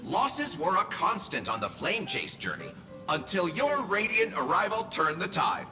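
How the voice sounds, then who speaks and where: An actor playing a flamboyant, pompous, flattering herald in a video game, with theatrical, florid delivery. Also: male voice, high voice, unhurried, vocal fry, heavily acted. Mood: grandiose, delighted. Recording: long reverb, faint music behind.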